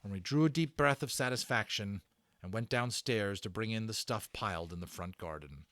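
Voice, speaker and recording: A man giving an expressive, dramatic read-aloud of a children's book as narrator. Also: fairly low pitch, normal speed, no echo. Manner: expressive, performed reading. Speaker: narrator and voice actor.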